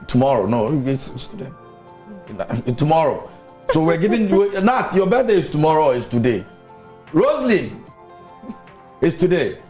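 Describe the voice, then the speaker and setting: A man hosting a morning TV show, chatty and teasing, chatting casually with a guest in a studio. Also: medium pitch. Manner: chatty, teasing, laughs often.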